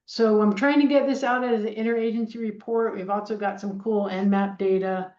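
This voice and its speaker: A woman's voice: medium pitch, fairly even intonation, a little fast, a little mellow. A middle-aged woman, a research scientist, giving an informal conference presentation in a conversational, explanatory way. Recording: dull, roomy, echoing sound.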